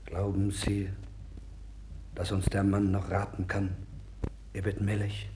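Noisy old record, fretful powerless king, low male voice, formal radio drama delivery, wavering. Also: completely flat delivery, slow pace. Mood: uneasy. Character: troubled, powerless king of besieged ancient Judah. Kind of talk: 1960s German radio play acting. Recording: worn vinyl record with clear noise.

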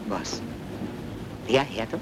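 A man's voice: lowish voice, animated, takes it slowly. An actor playing a suspicious father among bystanders in a film drama. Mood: agitated, accusing. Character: suspicious Viennese bystander, father of small child. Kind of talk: German film drama dialogue.

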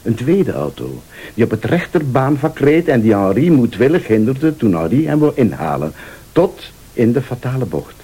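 An actor playing a vengeful rival in a radio thriller, a calm, sardonic accuser who speaks Flemish with deliberate, probing politeness. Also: male voice, mid-range voice, husky. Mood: accusing. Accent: Flemish Belgian. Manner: deliberate, insinuating, formally polite.

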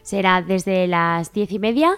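A woman's voice: fairly low pitch, slow, fairly bright tone, clear, resonant tone, faint music behind. A woman from Spain, co-hosting a radio show and reading out event listings.

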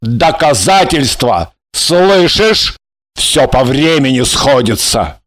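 An actor playing a young, earnest and exasperated lover in an audiobook drama, speaking emphatically. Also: male voice, medium pitch, very animated, a bit bright, full character voice. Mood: insistent, irritated. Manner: emphatic, drawn-out, pleading then threatening. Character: young earnest, honourable, exasperated male lover, soon a father.

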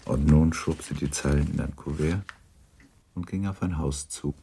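An actor as the sober, restrained narrator of a period novel in a radio drama, measured, grave and understated. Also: male voice, rumbling low, fairly even intonation, creaky, mellow, husky, exaggerated voice.

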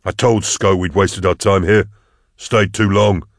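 A man's voice: low voice, fairly even intonation, unhurried, no echo, studio quality. A man voicing a cynical, defeatist hunter in an audiobook, speaking in terse, exasperated, emphatic bursts. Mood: bitter, resigned. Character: bitter, fed-up male hunter, cynical and defeatist.

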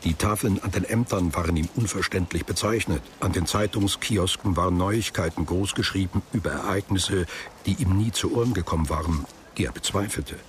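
A man narrating a literary radio drama in a measured, formal way, with music behind him. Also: low voice, slight background noise.

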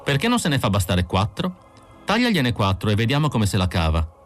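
A man voicing a pushy, excitable, callous android wife with an eager, urging manner, in an audiobook. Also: on the low side, a little fast, pitched above the performer's own voice. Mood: callous curiosity.

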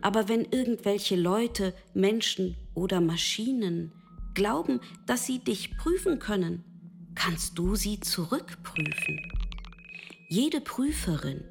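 Philosophical android, female, patient, deliberate, didactic, medium pitch, radio play monologue, faint music behind. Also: animated, creaky. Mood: probing, instructive. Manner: didactic, deliberate, addresses listener directly. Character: love-capable female machine, lucid, patient, lecturing philosopher.

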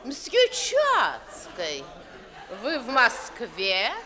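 An actress playing a haughty, sharp-tongued spinster countess, drawling with affected disdain in a reverberant 1950s radio comedy. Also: female voice, on the high side, wildly swooping pitch, very slow, some chatter behind, full character voice. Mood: arch, biting. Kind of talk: 1950s Russian stage comedy acting for radio. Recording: old radio play, long hall reverb.

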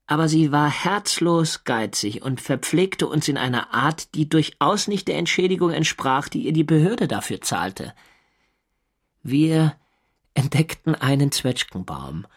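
An actor playing a navy sailor who recounts his wartime diary in measured, dryly observant literary narration for a radio drama. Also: medium pitch.

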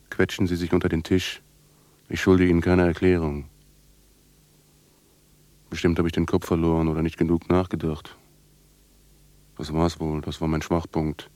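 Radio play lead: actor as haunted male outcast, deep register, incantatory and defiant. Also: darkish tone, dry recording, natural voice. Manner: incantatory, brooding, poetic delivery. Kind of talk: literary radio drama monologue.

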